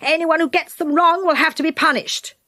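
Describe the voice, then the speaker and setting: A woman voicing a stern, strict old woman schoolmistress, speaking slowly and coldly in a clipped way. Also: extremely high pitch, steady tone, bright, forceful tone, dry recording, studio quality, pitched above the performer's own voice.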